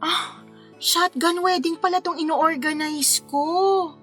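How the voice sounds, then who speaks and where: A woman's voice: very high voice, steady tone, slow, fairly bright tone, soft background music, exaggerated voice. An actress playing a sassy, playful career woman in a Filipino radio drama, teasing and exclamatory as a concerned confidante. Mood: probing.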